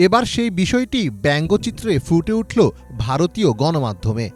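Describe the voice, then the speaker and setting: A man's voice: a little high, sing-song, normal speed, faint music behind. A man with a Bangladeshi accent reading a scripted news report as a voice-over.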